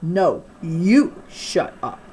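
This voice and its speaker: A blunt, indignant young schoolgirl , acted by a woman storyteller, in a children's audiobook. The words land slowly and emphatically, with noise in the recording. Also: on the low side, sing-song, warm tone, heavily acted. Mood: angry.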